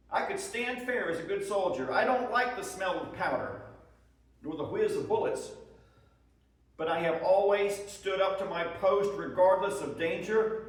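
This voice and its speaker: A man giving a dramatic reading as a proud, weary Civil War soldier, in measured short phrases, writing letters home. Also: sits high. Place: echoey room at a live presentation. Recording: echoey hall, far from the microphone.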